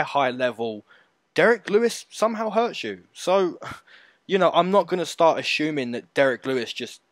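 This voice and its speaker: A man's voice: mid-range voice, a bit bright, dry recording. Emphatic man talking fight picks, dry. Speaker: co-host of a fight prediction show. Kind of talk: casual betting breakdown chat.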